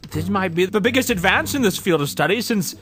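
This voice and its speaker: A man's voice: sits high, lively intonation, fairly quick, over music. A man playing a hesitant, bookish older academic, earnest and stammering, in a comic audio adventure. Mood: hesitant, intrigued. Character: fussy, hesitant older male academic, keen hieroglyph scholar.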